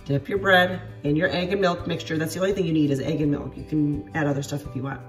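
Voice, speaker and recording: A congested woman in her thirties chats her way through a home-cooked dinner, with lots of fillers and little apologies. Her voice sits a bit low, with soft music playing underneath. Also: steady tone, fast talker, far from the mic. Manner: chatty, self-deprecating, lots of fillers. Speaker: home cooking vlogger. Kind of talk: casual chatty cooking narration. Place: home kitchen and outdoor patio.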